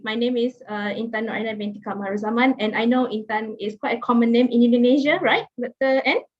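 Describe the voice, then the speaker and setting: A woman's voice: a little high, steady tone, fast. A woman in her thirties with a Malaysian accent, giving a chatty online guest lecture with many fillers and restarts. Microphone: video call. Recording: muffled video call audio.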